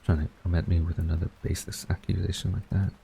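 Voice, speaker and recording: Tsundere male college rival, acted by a man: mellow, creaky young voice, halting and guarded, close dry audio-roleplay sound. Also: low-pitched, level tone, full character voice. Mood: flustered, defensive. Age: young adult. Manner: flustered, grumbling, halting denials. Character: tsundere young male university student rival, defensive, secretly smitten. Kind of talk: romantic audio roleplay acting.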